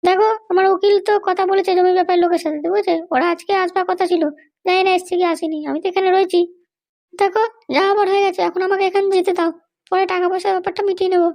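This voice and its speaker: Woman playing bossy, indignant cartoon lady politician, tiny childlike voice, extremely high, rapid scolding delivery. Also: little pitch movement, darkish tone. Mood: bargaining, complaining. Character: haughty, threatening female political boss held in jail. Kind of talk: Bengali comedy cartoon dialogue.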